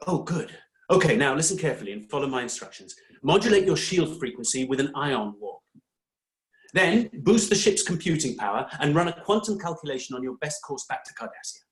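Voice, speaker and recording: A middle-aged man playing a weary, exasperated doctor in a dramatic reading, with halting, stammering delivery. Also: on the high side, very animated. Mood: brisk, instructing. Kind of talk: dramatic fan fiction reading.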